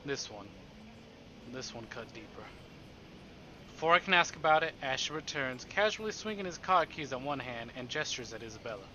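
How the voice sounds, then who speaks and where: A man's voice: mid-range voice, animated, normal speed, sharp tone, slight background noise. A man streaming a visual novel, reading narration aloud and cracking playful, sarcastic comments in between. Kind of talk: live narration mixed with joking commentary. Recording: dull lo-fi stream audio over music. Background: game music.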